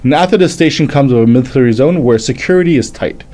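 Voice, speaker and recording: A man in his thirties whose first language is French, hosting a casual live manga reading and chat, halting and stumbling as he reads aloud. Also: medium pitch, expressive, a little mellow. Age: 30s. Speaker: livestream host, manga reviewer.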